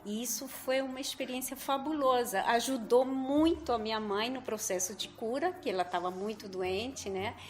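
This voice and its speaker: A woman's voice: on the high side, normal speed, hardly any pauses. Colombian woman telling her story. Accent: Colombian Spanish. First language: Spanish. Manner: earnest, with many hesitant fillers. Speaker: event participant. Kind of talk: personal story at a meeting. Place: meeting room with a group.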